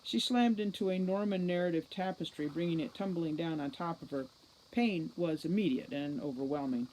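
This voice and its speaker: A woman giving an expressive reading of a fantasy novel aloud, like a teacher reading to a class. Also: fairly low pitch, moderate pace, a little hiss or hum, low-quality recording.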